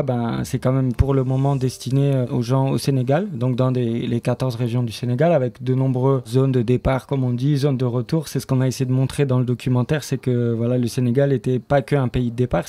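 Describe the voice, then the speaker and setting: A man speaking haltingly as a guest in a spontaneous radio interview. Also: mid-range voice, flat delivery, warm tone. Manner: hesitant, halting delivery. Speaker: studio member presenting an audio fiction series, interview guest.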